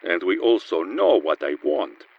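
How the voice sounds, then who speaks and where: An actor playing a pompous, theatrical Soviet assassin with a husky voice and Russian accent in a radio play. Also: male voice, low-pitched, very animated, full character voice. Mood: smug, menacing. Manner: pompous, deliberate. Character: smug, theatrical Soviet assassin who fancies himself an artist. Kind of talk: comic spy radio play. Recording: muffled recording with music behind.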